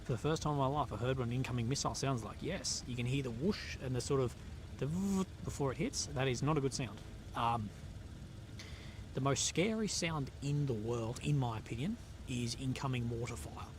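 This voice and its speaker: A man's voice: mid-range voice, a little fast. A man hosting a casual live stream, reading out chat questions and answering bluntly, with frequent fillers and the odd swear word. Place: outdoors in a conflict zone. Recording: noisy outdoor live stream.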